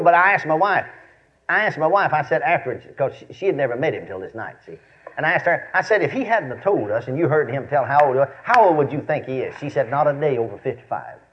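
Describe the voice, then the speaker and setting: A man in his fifties with a Texan accent preaches a folksy, storytelling sermon, repeating phrases for emphasis and checking in with his listeners. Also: on the high side. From Texas, United States. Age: 50s. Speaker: preacher and Bible teacher. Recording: dull, lo-fi sermon recording.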